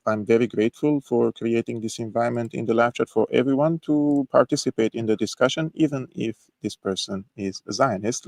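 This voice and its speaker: A man's voice: mid-range voice, gravelly, dry recording. A man hosting a political talk show, earnest and a bit hesitant, with frequent filler sounds. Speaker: podcast host.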